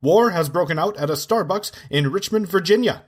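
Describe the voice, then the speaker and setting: Husky, pompous actor's male news anchor. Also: sits high, animated, fairly quick. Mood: grave, smug. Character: self-important alarmist male cable news anchor. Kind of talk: parody breaking-news delivery.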